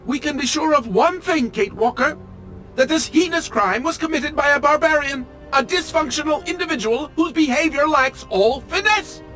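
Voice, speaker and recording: A man's voice: very high voice, normal speed, sharp tone, faint music behind, low-quality recording. A man playing a pompous, melodramatic automaton train engineer in a video game, with formal, fussy and theatrical diction. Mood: indignant, horrified. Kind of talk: video game character acting.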